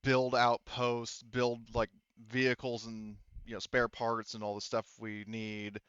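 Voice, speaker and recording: A man's voice: mid-range voice, monotone, fairly bright tone, clean tone, no echo, dull audio, lightly acted. Man playing a weary, hesitant woman engineer. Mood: thoughtful, candid. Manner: hesitant, halting, candid. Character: weary, candid overworked woman engineer in a space colony.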